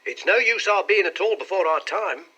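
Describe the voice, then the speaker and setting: A man voicing an excitable, cheery young male clerk from London, eagerly telling his story in an audiobook. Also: high voice, normal speed, husky, lo-fi sound, voice pushed up, exaggerated voice. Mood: cheery, excited.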